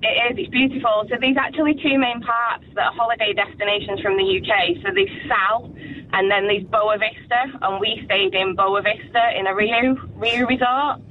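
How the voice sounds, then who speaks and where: A woman's voice: on the high side, normal speed, narrow, tinny audio, slight background noise. An English woman calling in to a radio show, chatting casually and tripping over her words with little laughs. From England. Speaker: caller. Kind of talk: casual phone-in chat.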